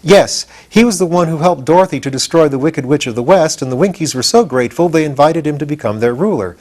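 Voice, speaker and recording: A man's voice: on the high side, expressive, fast, darkish tone, pitched above the performer's own voice. A man voicing a confident, adventurous boy who explains things eagerly in a storybook reading. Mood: explaining. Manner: eager, matter-of-fact explaining.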